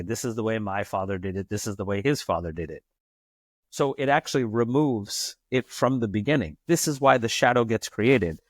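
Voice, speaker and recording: Emphatic low-voiced American man. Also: no echo, studio quality. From United States. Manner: emphatic, philosophical, builds points in long runs. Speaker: podcast guest. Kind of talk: reflective conversational storytelling.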